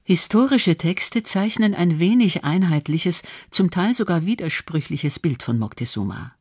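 Higher-pitched male documentary narrator. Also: lively intonation, a little slow, darkish tone, breathy edge, no room sound, studio quality.

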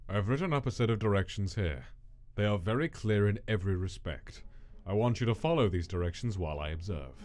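A man playing a cold, stern scientist monster in an animated comic dub, speaking in a measured, formal and commanding way. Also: fairly low pitch, close to an everyday voice. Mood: cold, detached. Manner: measured, formal, precise diction.

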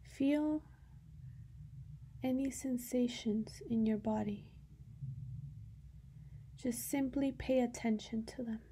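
A woman leading a guided meditation in a soft, breathy voice that often sinks toward a whisper, giving calm instructions with long pauses. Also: fairly high pitch, slight background noise.

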